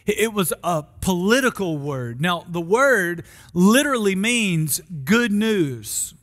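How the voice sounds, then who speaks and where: A man in his forties with an American accent preaching a sermon in an emphatic, deliberate way. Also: high-pitched, sing-song, a bit bright. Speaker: pastor. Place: large church auditorium stage. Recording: long hall reverb from stage sound system.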